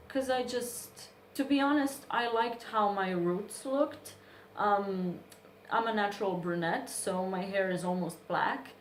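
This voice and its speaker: A woman's voice: mid-range voice, slight background noise. A woman in her early twenties from Serbia with a Serbian accent, chatting to camera as a beauty vlogger in a chatty, apologetic, self-deprecating way. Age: early 20s. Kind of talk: casual chat to camera. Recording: echoey room.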